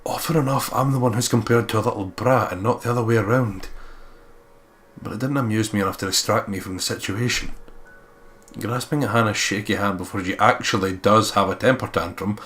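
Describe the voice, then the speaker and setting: Man, lowish pitch, let's player, expressive visual novel narration, faint background noise. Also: fairly quick. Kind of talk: expressive read-aloud narration of a visual novel, with casual asides.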